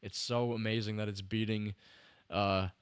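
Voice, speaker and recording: A man's voice: on the low side, little pitch movement, normal speed, clean tone, dry recording. Young man gushing about a film, close dry mic. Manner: rambling, restarts sentences, talks to chat viewers. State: slightly tired. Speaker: podcast host livestreaming a film breakdown. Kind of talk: casual enthusiastic film commentary to chat.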